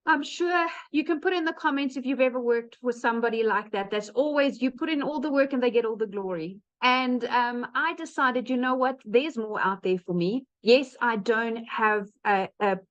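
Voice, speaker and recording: A woman's voice: fairly high pitch, normal speed, hardly any pauses. A woman in her late thirties with a South African accent gives an informal, earnest testimonial to an online audience, speaking directly and emphatically to listeners. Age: late 30s. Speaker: webinar speaker, digital marketer. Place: online webinar call. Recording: dull lo-fi online call audio.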